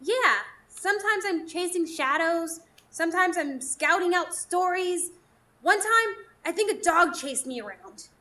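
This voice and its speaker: A childlike, evasive fairy for a radio play. The voice is extremely high and swoops up and down, lingering slowly over teasing replies. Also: female voice, animated, sharp tone, heavily acted. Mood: scatterbrained, cheeky. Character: flighty childlike ageless fairy woman, cheeky and evasive. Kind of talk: live radio drama acting. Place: performance space in front of a live audience.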